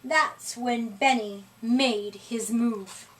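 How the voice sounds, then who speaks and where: A woman reading a children's story aloud in an expressive, deliberate way, recorded at a distance from the microphone. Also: a little high, slight background noise. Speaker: storybook reader.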